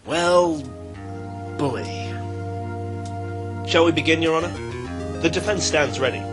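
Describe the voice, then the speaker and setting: Man as an excitable, high-pitched comic defendant. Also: slow pace, over music. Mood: grandiose. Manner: indignant, dramatic. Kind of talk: comedy sketch acting.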